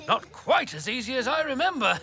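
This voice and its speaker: A man's voice: sits high, full character voice. Nostalgic old grandfather for a kids' cartoon, leisurely, jolly storyteller, over prominent music. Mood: flustered, amused. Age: older. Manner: chuckling, storytelling, easygoing. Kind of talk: children's cartoon dialogue.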